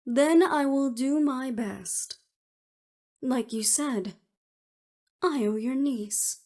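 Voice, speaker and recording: Woman acting a high-voiced, proud imprisoned expert. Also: drawn-out words, dark tone, heavily acted. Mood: wry, agreeable. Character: imprisoned former researcher, clever, unruffled, proud expert. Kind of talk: scripted audio roleplay acting.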